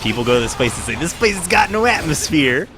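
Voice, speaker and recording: A man's voice: on the high side, big pitch swings, fast, music in the background. A man casually joking as co-commentator on a let's play of a video game. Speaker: gameplay co-commentator.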